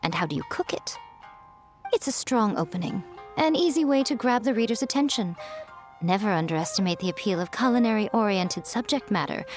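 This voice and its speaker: A woman voicing a sly, elegant fox spirit who mentors with smooth, unhurried teasing. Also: medium pitch, lively intonation, soft background music, natural voice. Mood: instructive. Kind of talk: video game cutscene voice acting.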